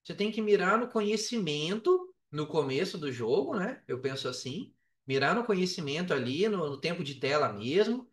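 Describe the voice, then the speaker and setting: A man with a Brazilian accent in casual podcast chat, talking in a slangy, animated storytelling way. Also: on the high side, normal speed, few pauses. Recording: roomy echo, distant from mic.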